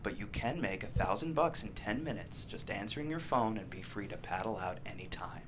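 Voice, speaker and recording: Guy doing a cruel cocky dealer at a table read, taunting, really animated, noisy room. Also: fairly low pitch, a little fast, lightly acted. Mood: coaxing. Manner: taunting, slangy street talk. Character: menacing young male drug dealer, cocky and playfully cruel. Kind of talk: dramatic table read of a teen drama script.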